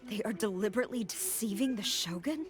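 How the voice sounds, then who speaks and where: A woman's voice: medium pitch, quick pace, close to an everyday voice. A woman voicing a stern, proud young female general in an anime game, speaking in a formal, earnest and clipped manner. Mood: troubled disbelief. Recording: music behind the voice.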